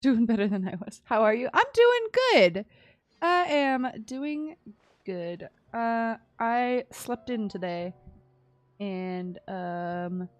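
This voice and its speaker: A woman's voice: fairly high pitch, expressive, slow pace, no echo. A woman chatting casually and playfully on a game stream, often murmuring little sound words to herself. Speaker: live streamer. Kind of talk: casual gameplay stream chat. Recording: game music behind the voice. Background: soft video game background music.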